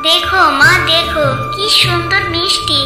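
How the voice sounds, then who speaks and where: A woman's voice: extremely high pitch, steady tone, moderate pace. A woman voicing a persistent, sweet-loving little village girl with a wheedling, sing-song cartoon delivery. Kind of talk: cartoon child voice. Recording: echoey, music underneath.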